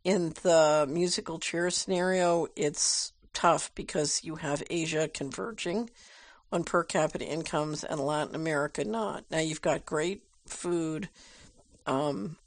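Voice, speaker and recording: Woman host with a gravelly edge, dry close sound, reading letters and mulling them over, lots of ums. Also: sits low, no echo. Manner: measured and thoughtful, frequent um and uh. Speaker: host answering viewer mail. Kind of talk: casual commentary, reading viewer letters aloud.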